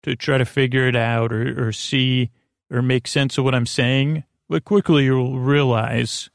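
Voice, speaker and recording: A man hosting a bedtime podcast in a creaky, lulling voice, rambling and stammering through meandering tangents and false starts. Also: medium pitch, fairly even intonation, measured pace, no room sound, studio quality. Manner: soothing, lulling. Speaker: podcast host.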